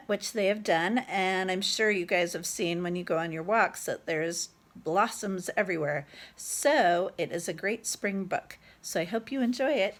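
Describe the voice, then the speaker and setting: A woman's voice: medium pitch, fairly bright tone. A woman reading a storybook aloud to children, addressing her young listeners informally.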